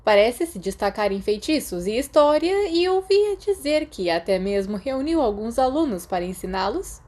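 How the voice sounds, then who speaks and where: A woman's voice: a little high, very animated, a little mellow, pitched above the performer's own voice. A woman voicing a middle-aged witch professor, stern but kindly, speaking formally in an audiobook. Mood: formal, approving. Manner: formal, measured praise.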